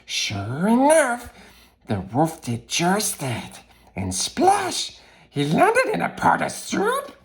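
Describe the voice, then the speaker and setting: A man voicing a wretched, whiny, gleeful cave creature who reads a children's storybook theatrically, with raspy, strained speech and muttered asides to himself. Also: high voice, wildly swooping pitch, slow pace, full character voice. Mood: excited, theatrical. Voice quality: raspy, strained, swooping between growl and squeal. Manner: muttering asides to self, exclaiming, distorted pet words. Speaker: reader, host of the series.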